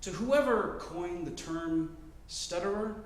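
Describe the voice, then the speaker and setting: A man in his twenties telling a story on stage, choosing and enunciating each word carefully, with deliberate pauses. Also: sits high, lively intonation. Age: 20s. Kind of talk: live storytelling performance. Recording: roomy live-venue sound.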